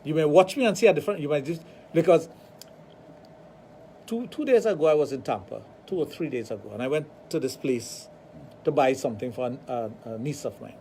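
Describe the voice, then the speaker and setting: A man's voice: on the high side, brisk, slight background noise. Trinidadian man telling stories on radio. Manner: animated storytelling, acts out dialogue. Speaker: radio show host, sexologist. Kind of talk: radio talk show chat.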